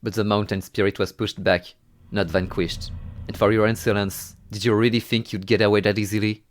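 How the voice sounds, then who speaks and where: A man's voice: lowish voice, level tone, a little fast. A man narrating a horror game playthrough from a script, moving between dramatic storytelling and wry, exasperated asides. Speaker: video game reviewer and narrator.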